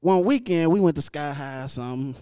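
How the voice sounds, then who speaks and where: High, smooth-voiced laid-back man host. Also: lively intonation, brisk, warm tone, no echo, studio quality. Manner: laid-back, slangy, teasing. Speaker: podcast host. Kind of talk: casual interview chat. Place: barbershop.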